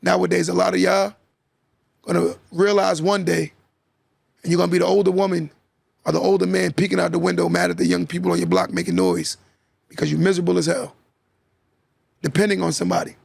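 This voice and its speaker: Raspy high-ish host guy lecturing the audience, repeats his points, dry close sound. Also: animated, fairly quick, long pauses, darkish tone, no echo. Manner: emphatic, lecturing, repeats key lines. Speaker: podcast host. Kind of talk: casual podcast talk, motivational monologue.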